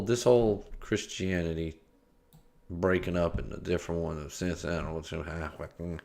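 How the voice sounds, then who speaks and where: A man's voice: medium pitch, fairly bright tone. A man streaming casual commentary, joking and rambling, often trailing off, restarting and scatting nonsense syllables. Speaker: streamer reacting to a video.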